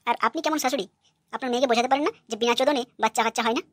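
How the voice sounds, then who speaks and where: A woman's voice: a little high, racing, no room sound, studio quality, lightly acted. A woman voicing a hot-tempered, aggrieved young son-in-law who rants brashly in a cartoon comedy. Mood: angry, ranting. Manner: brash, ranting.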